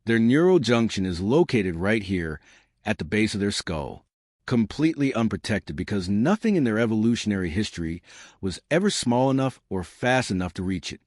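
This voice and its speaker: A man's voice: on the low side, very animated, normal speed, a little mellow, dry recording, studio quality. Man voicing a cocky, sarcastic female starship captain. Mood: commanding. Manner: sarcastic, confident.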